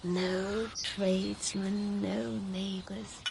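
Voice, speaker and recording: An actress playing an honest, spirited young woman in a radio drama, breathy and unhurried. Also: medium pitch, little pitch movement, darkish tone, slight background noise. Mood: reflective, wistful. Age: young adult. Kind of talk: radio drama acting, partly narrating.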